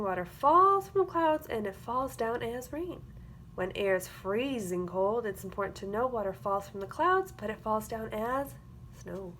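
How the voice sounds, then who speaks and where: A woman reading a children's picture book aloud as a teacher, with expressive, rhythmic delivery. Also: on the high side. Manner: rhythmic, expressive delivery of rhyming verse with explanatory asides. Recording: clear background noise.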